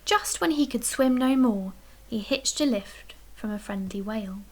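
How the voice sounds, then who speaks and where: A woman reading a children's picture book aloud as a storyteller, expressively, with dramatic emphasis and hushed, breathy dips. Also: a little high, normal speed. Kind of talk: expressive read-aloud bedtime story narration.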